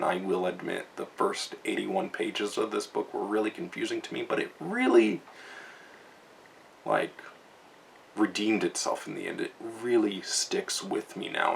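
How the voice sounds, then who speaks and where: A young man with a low, heavily gravelly voice gives a loose, rambling review, full of "like" and "just" and restarted words. He sits some way back from the mic, with a faint hiss underneath. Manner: rambling, casual, lots of fillers and restarts; chuckles often while talking. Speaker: book reviewer. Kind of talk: casual spoken book review. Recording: distant mic, faint background noise.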